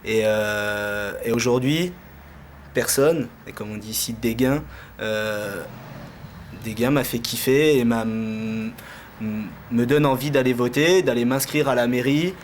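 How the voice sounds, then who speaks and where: A man's voice: medium pitch, unhurried. A man giving casual, slangy answers in an interview, hesitating and repeating his phrases. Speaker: street interviewee. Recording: faint background noise.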